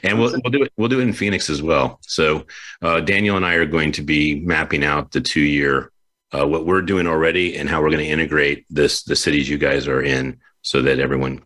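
Low-voiced, chatty male show host. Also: a little fast, pushed and bright. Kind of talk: conversational interviewing. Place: video call.

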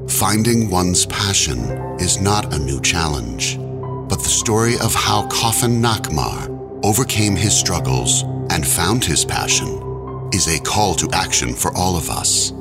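Deep-voiced male host over loud music. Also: animated, unhurried. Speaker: host introducing a film and guest. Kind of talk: scripted promotional narration.

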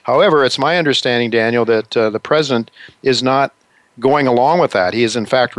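Halting talk-radio host, dry studio voice. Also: male voice, mid-range voice, fairly bright tone, no echo. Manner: rambling, halting with many fillers.

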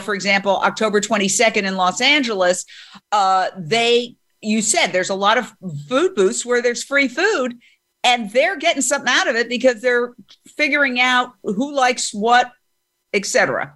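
A woman's voice: fairly high pitch, expressive, normal speed, fairly bright tone. A woman hosting an interview, speaking enthusiastically and with strong opinions. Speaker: interview host. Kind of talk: interview conversation.

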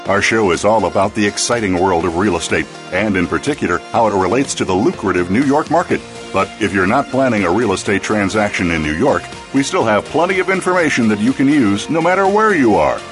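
A man's voice: lowish voice, lively intonation, fairly quick, over music. A man announcing a radio show's opening in an upbeat, scripted delivery. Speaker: show announcer. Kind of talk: scripted show intro.

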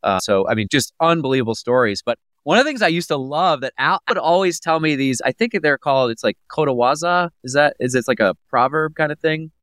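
A man's voice: a little high, animated, a little fast, few pauses, fairly bright tone, no echo. A man hosting an interview podcast, chatty and friendly, with teasing asides. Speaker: podcast host. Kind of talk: casual interview conversation.

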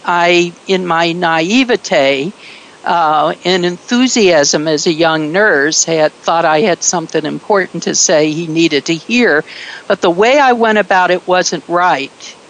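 A middle-aged woman talks at a slow, unhurried pace, her voice sitting a little low. She reflects aloud in conversation, pausing often and reaching for her words. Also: rough recording. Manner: slow, hesitant, with many fillers.

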